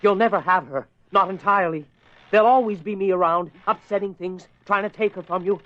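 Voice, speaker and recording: A man's voice: sits high, fairly quick, natural voice. A man playing a desperate husband in a horror radio play, intense and imploring as he confronts a spirit. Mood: firm, challenging. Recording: muffled lo-fi radio drama recording.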